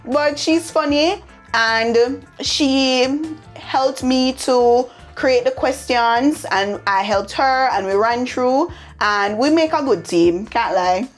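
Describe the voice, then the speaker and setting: A woman's voice: high-pitched, slow, soft background music. A young woman contestant with a Jamaican accent, talking confidently about her performance. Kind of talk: casual reflection.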